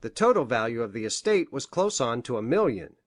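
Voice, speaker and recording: A woman voicing an earnest, respectable gentleman doctor in a storybook, speaking in a precise, formal way. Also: very deep voice, big pitch swings, a little fast, no room sound, studio quality, voice pushed down, heavily acted. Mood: earnest, informative.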